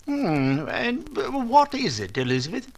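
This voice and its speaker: A man's voice: extremely high pitch, big pitch swings, a little slow, full character voice. An actor playing a sardonic older Regency father in old-time radio style, wry and mock-courteous. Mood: wry, genial. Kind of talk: old-time radio comedy-romance acting.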